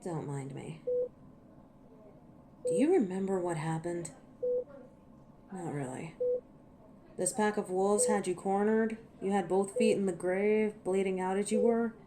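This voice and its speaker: A woman's voice: medium pitch, measured pace, long pauses, heavy background noise, lightly acted. A woman voicing a cocky, ancient werewolf in an audio roleplay, teasing and menacing with a sardonic, impatient manner. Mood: teasing, probing. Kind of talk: scripted audio roleplay monologue to a listener.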